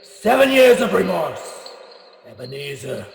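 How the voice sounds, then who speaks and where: A man's voice: high-pitched, very animated, measured pace, creaky, exaggerated voice. A man playing the mournful, remorseful ghost of a businessman in a radio play, speaking in a solemn, declamatory manner. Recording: long echoing reverb, music underneath.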